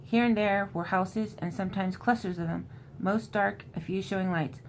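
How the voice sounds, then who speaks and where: A woman host gives an informal book recap, moving between loose retelling and reading aloud. Her voice sits at an ordinary mid pitch for a woman. There is noticeable ambient noise behind her. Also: fairly even intonation, moderate pace. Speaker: host recapping a horror novel. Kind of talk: casual book recap with passages read aloud.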